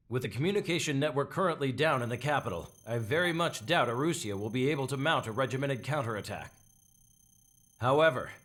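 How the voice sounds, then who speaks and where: A man's voice: on the high side, a bit bright. A man playing a composed, formal military officer delivering a businesslike mission briefing in a video game.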